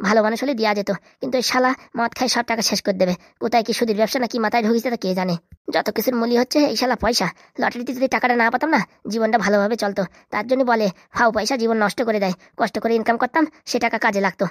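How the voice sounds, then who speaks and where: A man's voice: sits high, dry recording, studio quality, lightly acted. A man voicing a troubled, remorseful married villager who drinks, grumbling and scolding himself in a comedy cartoon. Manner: grumbling, self-scolding, rambling. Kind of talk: comedy cartoon dialect acting.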